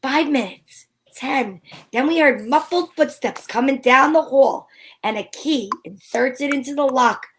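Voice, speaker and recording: High-voiced brisk lady detective played by a woman. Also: fairly bright tone. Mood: narrating, wry. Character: brisk, bossy, wry female detective narrating her case. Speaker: narrator and lead actor. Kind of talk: old-time radio detective drama acting.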